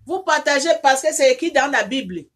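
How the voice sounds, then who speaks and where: A woman leading a heartfelt prayer and appeal to her online audience, in French that is not her first language. Her voice sits a little high, and she circles back over the same words with fillers and restarts. As the prayer builds, she grows loud and insistent. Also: expressive, fairly quick. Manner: repetitive, fervent, with many restarts and fillers; rises into loud insistent pleading when praying. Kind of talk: informal prayer and appeal to viewers.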